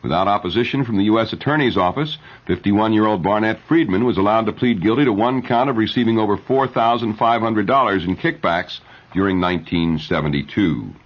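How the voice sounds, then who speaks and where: A man reading news and ads as a radio newscaster in a measured 1970s announcer style. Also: fairly low pitch. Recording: dull, lo-fi 1970s radio broadcast recording.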